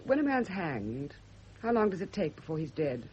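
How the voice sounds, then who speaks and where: A woman's voice: lowish voice, sing-song, moderate pace, a little mellow, slightly rough. An actress playing a bitter, defiant woman on edge in a British radio drama, clipped and cutting in manner. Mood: bitter, brooding. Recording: dull, noisy old radio drama recording.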